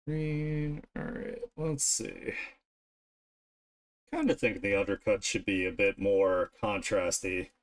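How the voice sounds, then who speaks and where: A man streaming his art, thinking aloud in an informal, unhurried way as he works. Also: on the low side. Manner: informal, unhurried musing to self while drawing. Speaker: streamer and artist. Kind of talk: casual art livestream commentary, thinking aloud.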